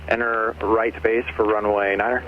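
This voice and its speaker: A man's voice: lowish voice, level tone, moderate pace. A man giving clipped, procedural traffic calls over aviation radio. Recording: dull, noisy aircraft radio transmission.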